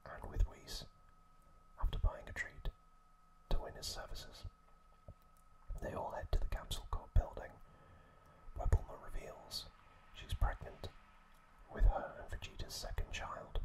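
Slow whispering man, ASMR. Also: poor audio. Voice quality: breathy whisper. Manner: slow, halting phrases with pauses. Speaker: ASMR host. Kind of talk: whispered ASMR storytelling recap. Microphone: close microphone.

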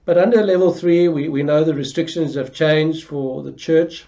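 Older man, pastor, earnest and flat-toned, mellow voice, dull home-video sound. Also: fairly high pitch, normal speed, vocal fry. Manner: earnest, repeats words when restarting. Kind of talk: church announcement to camera. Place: home living room, seated in armchair.

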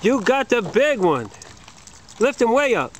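A man's voice: very high voice, very animated, unhurried, noisy background. A man filming his young son outdoors by a park pond, cheering him on and praising each catch. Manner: encouraging, praising a small child. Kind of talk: home video commentary. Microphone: handheld camcorder. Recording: camcorder audio with music over it.

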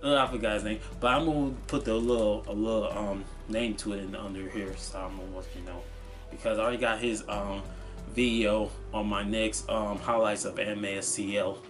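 A young man chatting casually in an unscripted vlog, halting and repeating himself as he stumbles over his words. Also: on the low side, steady tone, music in the background. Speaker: vlogger showing convention purchases. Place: small cluttered room at home, indoors.

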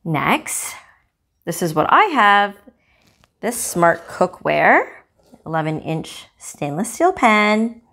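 A woman hosting a cooking channel, casually demonstrating a gadget in her home kitchen, chatty and relaxed with small fillers. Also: lowish voice. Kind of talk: casual product demo.

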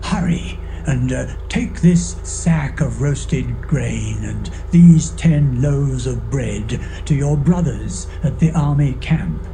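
An actor playing an old shepherd father in an audio Bible drama, halting and unhurried as he gives instructions. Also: medium pitch, creaky, warm tone, soft background music. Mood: careful, fatherly.

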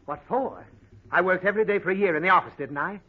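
A man's voice: sits high, fast talker, husky. An actor playing an exasperated, scolding father in a 1940s radio comedy, fussy and flustered. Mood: defensive. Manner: fussy, flustered, sputtering reprimands. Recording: muffled, very noisy 1940 radio recording.

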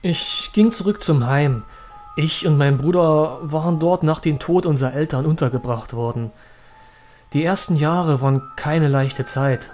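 A man's voice: fairly high pitch, darkish tone, soft background music, close to an everyday voice. A man playing a haunted young trainer, recounting his story in a brooding, dramatic way for a horror audio drama. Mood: subdued, brooding. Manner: dramatic, halting at moments, brooding. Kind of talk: German horror audio drama narration and acting.

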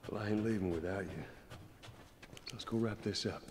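An actor playing a weary, gruff middle-aged male survivor who is protective and quietly tired. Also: on the low side, moderate pace. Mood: tender, weary.

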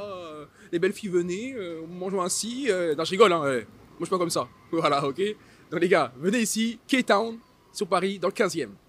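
A man's voice: sits high, a bit bright, slightly rough. A young man doing a teasing street interview outdoors with a handheld mic, joking and pushing his guests. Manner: playful, pushy, joking. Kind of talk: teasing street vox pop. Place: busy city street outdoors.

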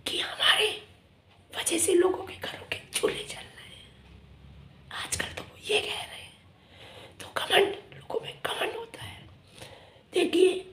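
Hoarse whispery woman vlogging. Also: extremely high pitch, echoey room. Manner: emphatic, whispery from a sore throat. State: hoarse, lost voice. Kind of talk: casual talk to camera. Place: small room indoors.

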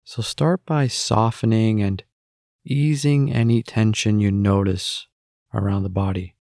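A man with a fairly low voice leads a guided meditation. He speaks very slowly, giving gentle instructions and leaving long pauses. The recording is close and dry, with little sense of a room. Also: steady tone, gravelly, dry recording.

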